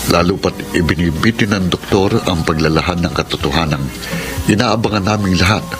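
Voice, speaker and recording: A male actor as a deep, low-voiced man weighed down by remorse in a radio drama. He has a Filipino accent, and music plays prominently behind him. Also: brisk, natural voice. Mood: brooding, heavy-hearted. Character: troubled, remorseful man facing a paternity test. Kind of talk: Filipino radio drama acting and letter narration.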